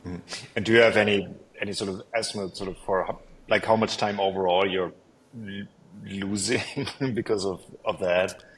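Male interviewer, middle-aged, fairly deep, casual and halting, repeats words, on a video call. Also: fairly even intonation, fairly bright tone, low-quality recording. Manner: halting, repeats words while forming questions.

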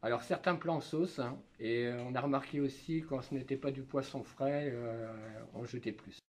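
A man in his fifties, a school canteen cook, calmly explaining his work to children with plenty of fillers, recorded on a camera nearby in a kitchen. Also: medium pitch, normal speed. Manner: plain, patient, with many fillers. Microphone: camera held by children nearby.

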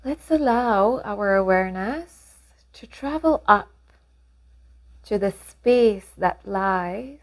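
Woman, guided meditation narration, slow pace, calm, medium pitch, long pauses, gentle instruction.

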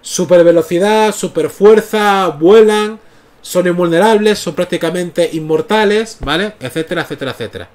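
A man's voice: sits high, moderate pace, darkish tone. A man in his thirties with a Canarian Spanish accent, chatting casually on a comics livestream through a headset mic in a small room at home. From Canary Islands. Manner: chatty, often checks in with "¿vale?". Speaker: comics streamer. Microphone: gaming headset mic.

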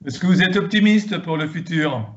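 A man's voice: on the high side, moderate pace. A man in his sixties asking formal, prepared interview questions over a video call. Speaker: interviewer, host. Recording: dull, lo-fi video call audio.

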